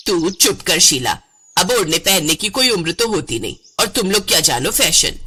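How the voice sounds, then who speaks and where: Woman playing brazen, fashion-proud mother-in-law, lively pitch. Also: normal speed, husky, heavily acted. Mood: defiant. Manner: haughty, dismissive.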